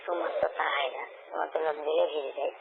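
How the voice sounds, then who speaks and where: A man's voice: a little high, fairly even intonation, very fast, creaky. A man chatting casually and intimately on a phone call, often repeating his own phrases. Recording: noisy, narrow phone call recording.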